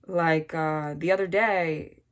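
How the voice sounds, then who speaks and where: A woman's voice: low voice, steady tone, takes it slowly. A woman with a New York accent, chatting casually and rambling through a tarot reading at a table, with many restarts and asides. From New York / New Jersey area. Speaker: tarot reader. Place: indoors at a table, hands over cards.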